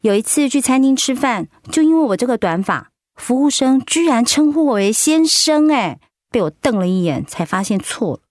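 Radio drama: female actor for a candid, warm middle-aged woman who has survived illness, higher-pitched, very dry studio sound. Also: sing-song, normal speed, no echo. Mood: chatty, mock-hurt. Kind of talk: radio drama acting, conversational.

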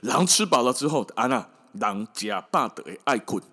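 A man's voice: mid-range voice, expressive, moderate pace, pushed and bright, breathy edge. A man with a Taiwanese accent, a podcast host telling a fairy tale casually with irreverent, colloquial comic asides.

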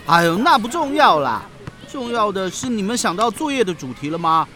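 A man's voice: high voice, big pitch swings, brisk, bright, forceful tone, heavily acted. A man playing a brash, scatterbrained, whiny schoolboy in a children's audio drama. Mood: whiny, teasing.

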